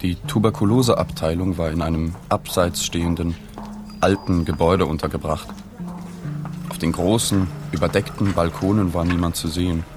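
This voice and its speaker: Actor as low-voiced, sober male journalist. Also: steady tone, normal speed, music in the background, natural voice. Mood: sober, observing. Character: reserved male journalist, soberly observing and gently questioning. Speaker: narrator and reporter figure. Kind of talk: radio play narration and dialogue.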